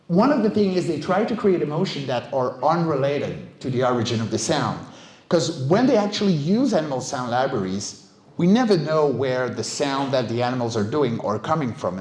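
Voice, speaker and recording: Middle-aged man presenting in an echoey room. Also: medium pitch. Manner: conversational, emphatic, often checks with listeners. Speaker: guest speaker, vocal stunt performer and director. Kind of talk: casual conference talk. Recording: roomy, echoey live talk recording.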